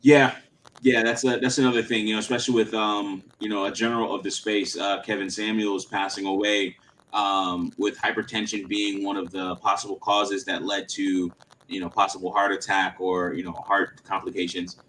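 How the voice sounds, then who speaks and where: A man's voice: lowish voice, flat delivery, normal speed, a bit bright, a little hiss or hum, rough recording. A man in his thirties hosting a casual livestream chat, talking in a rambling way with lots of fillers and slang. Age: 30s. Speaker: channel host.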